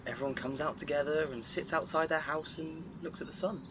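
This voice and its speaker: An actor playing an amiable, naive, chatty neighbour with a community spirit in a naturalistic audio drama. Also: male voice, a little high, steady tone, fairly quick, noisy background, close to an everyday voice. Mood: upbeat.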